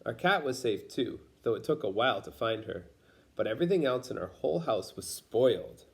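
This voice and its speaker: A man reading a children's picture book aloud as a storyteller, pausing to explain things to young listeners. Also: mid-range voice, expressive, creaky. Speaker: storybook reader.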